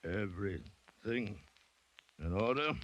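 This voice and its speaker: An actor playing a determined plantation owner fighting for his life, narrating intensely and haltingly in an American accent, in the manner of 1940s radio drama. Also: lowish voice, animated, fairly bright tone, muffled recording, exaggerated voice. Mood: weak, wry. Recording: restored 1940s radio recording, noisy, clicks.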